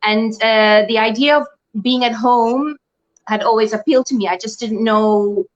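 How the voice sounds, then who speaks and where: A woman's voice: a little high, steady tone, normal speed, highs cut off. Middle-aged Dutch-raised woman, hesitant. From Netherlands. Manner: hesitant, many restarts and fillers, laughs often. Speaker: guest. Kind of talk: casual interview talk.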